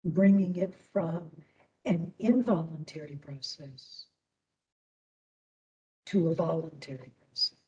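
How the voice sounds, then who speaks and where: A woman instructor leading a guided meditation in a soothing manner, with long pauses between short phrases. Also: low voice, warm tone, recorded from a distance, highs cut off.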